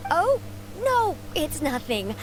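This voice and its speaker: An actress playing an earnest, anxious young fishing association representative in a video game, with a formal, theatrical manner. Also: female voice, high-pitched, big pitch swings, slow, very noisy, exaggerated voice. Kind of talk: English game dub acting. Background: game music.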